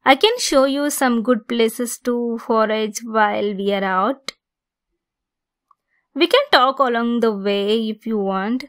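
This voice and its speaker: A woman performing a scripted ASMR roleplay as a possessive female centaur, speaking in an intimate, gently teasing manner. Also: on the high side, slow.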